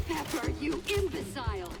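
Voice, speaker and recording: An actress playing a bossy, irate cartoon villainess with a shrill, scolding manner. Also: female voice, very animated, normal speed, loud music behind the voice.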